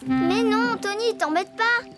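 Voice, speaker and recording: A woman's voice: very high-pitched, slow. A woman voicing a confident, bossy little girl in a French children's cartoon, speaking expressively. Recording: music behind the voice.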